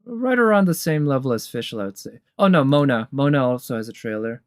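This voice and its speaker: A man's voice: mid-range voice, animated, a little fast, clear, resonant tone, no echo, studio quality. A man giving casual, enthusiastic reaction commentary as a host, thinking aloud as he goes. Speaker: host of a game trailer tier list. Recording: music behind the voice. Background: game trailer music.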